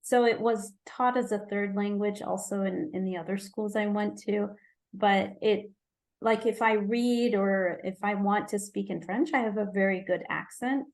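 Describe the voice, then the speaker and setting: Woman from Egypt, podcast guest, mid-pitched voice, thinks aloud, corrects herself, light laughs. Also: fairly even intonation, normal speed, a little mellow. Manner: searching, self-correcting speech with light laughter. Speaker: guest physician. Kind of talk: conversational interview.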